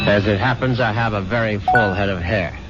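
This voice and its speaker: An actor playing an anxious, guilt-haunted husband in a 1970s radio mystery, narrating his paranoia with nervous, halting stammers. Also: on the low side, fairly even intonation, close to an everyday voice. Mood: uneasy, troubled. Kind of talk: 1970s radio mystery drama acting, first-person narration. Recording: muffled 1970s radio broadcast, loud music.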